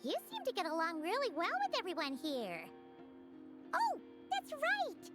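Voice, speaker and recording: A squeaky, extremely high fairy sidekick in a video game, with music behind. The tiny creature is childlike, curious and excitable, swooping up and down as it talks. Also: female voice, measured pace, full character voice. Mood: chirpy.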